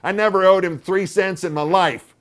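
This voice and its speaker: A man's voice: fairly high pitch, moderate pace, pitched above the performer's own voice, lightly acted. A man putting on a tart, fussy old aunt. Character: fussy stubborn older aunt, tart and irritable.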